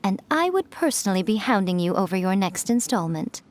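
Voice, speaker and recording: A woman's voice: medium pitch, sing-song, a little fast, natural voice. A woman voicing a sly, teasing fox-spirit shrine priestess in a video game, mocking and amused. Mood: flattering.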